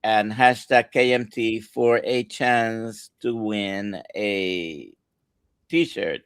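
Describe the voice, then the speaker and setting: A man's voice: lowish voice, extremely slow, smooth, no echo. A man co-hosting a casual livestream as a doctor, greeting viewers and prompting the guest. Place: remote online livestream from Central Florida.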